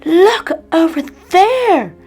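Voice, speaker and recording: A woman's voice: very high voice, animated, drawn-out words, darkish tone, pitched above the performer's own voice, exaggerated voice. A woman voicing an eager, friendly young puppy boy in a children's storybook reading. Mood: excited.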